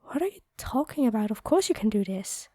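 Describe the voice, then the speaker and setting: A woman playing a kind, encouraging vampire fighter in a roleplay audio drama, speaking gently and earnestly. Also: a little high, sing-song, fairly quick, no echo, studio quality. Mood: worried, soothing.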